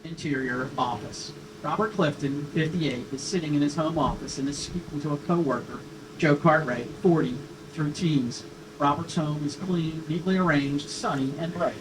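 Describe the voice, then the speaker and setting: A man's voice: fairly high pitch, normal speed. A man reading stage directions as scripted narration at a screenplay table read. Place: room at a live table read. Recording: distant mic, faint room noise.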